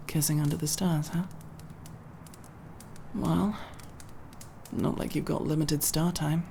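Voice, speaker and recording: Low, gravelly young tomboy played by a woman. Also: a little hiss or hum. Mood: playful, insistent. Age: young adult. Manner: halting, sincere, casually profane, gently teasing. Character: tomboy friend, young woman, guarded but tender, world-weary. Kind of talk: ASMR roleplay, intimate one-sided dialogue.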